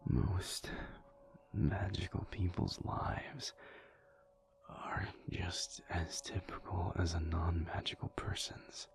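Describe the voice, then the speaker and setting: A man playing a thoughtful, weary alpha werewolf in an intimate audio roleplay, speaking softly and breathily in a halting, confiding way. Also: full character voice. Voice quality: breathy, half-whispered, low and gravelly. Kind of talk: intimate audio roleplay, soft-spoken ASMR monologue.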